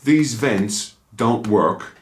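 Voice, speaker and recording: A man's voice: lowish voice, expressive, extremely slow. A middle-aged Irish man in his fifties, chatting casually as he reviews motorcycle gloves at home, rambling with dry asides. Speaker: motorcycle vlogger. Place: small room at home, indoors. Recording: echoey small room.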